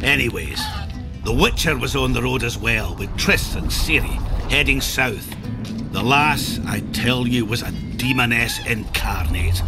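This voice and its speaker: An actor playing a crude, blustering, hearty dwarf warrior telling tales in a video game. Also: male voice, medium pitch, lightly acted. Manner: gruff, colourful, blustering. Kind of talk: video game character acting. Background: music.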